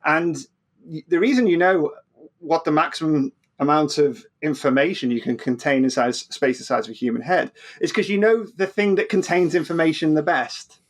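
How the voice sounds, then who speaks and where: A man's voice: a little high, moderate pace. A man explaining science informally as an interview guest, often repeating words and restarting, checking in with "right?".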